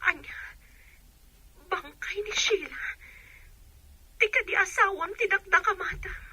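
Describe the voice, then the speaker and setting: A woman acting a gentle working mother in a Filipino radio drama, with a Filipino accent. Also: very high-pitched, measured pace, dry recording, full character voice. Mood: subdued, sympathetic. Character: gentle working mother abroad named Korason, grieving sympathetically. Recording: faint background noise.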